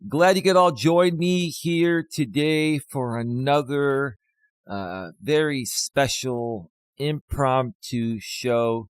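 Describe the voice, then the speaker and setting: Man, hoarse voice, life coach on a live stream, rambling with fillers, dry close sound. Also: mid-range voice, takes it slowly, dry recording. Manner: rambling, many fillers, talks straight to viewers. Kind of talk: casual live-stream talk.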